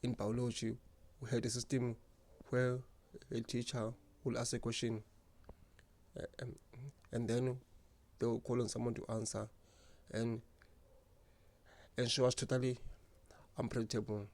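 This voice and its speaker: A man telling his own story in a slow, earnest, reflective way, stuttering often with repeated words and restarts. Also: medium pitch, little pitch movement, a little mellow. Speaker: speaker sharing lived experience. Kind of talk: personal reflective monologue.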